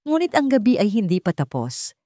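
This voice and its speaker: A woman narrating a scripted drama story in a measured, dramatic storytelling manner. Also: medium pitch, sing-song, dark tone, dry recording, studio quality.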